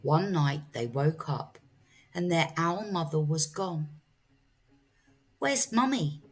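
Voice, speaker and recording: A woman reading a children's story aloud as a storyteller, in a measured teacherly style. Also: low voice, husky, faint music behind.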